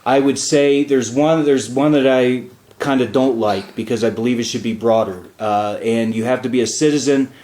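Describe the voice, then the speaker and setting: A man at a middle pitch hosts a relaxed talk show. He explains things in a loose, chatty way, with plenty of "uh" between thoughts. His voice carries a noticeable roomy echo. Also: moderate pace. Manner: chatty and explanatory, with frequent fillers. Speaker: radio show host and diplomat. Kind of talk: casual talk show chat.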